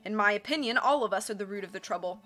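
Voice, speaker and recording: A woman's voice: medium pitch, fast talker, sharp tone, soft background music, close to an everyday voice. A woman voicing a noncommittal, philosophical quibbler in a storybook reading. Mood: matter-of-fact. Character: quibbling professor, fence-sitting philosophical islander.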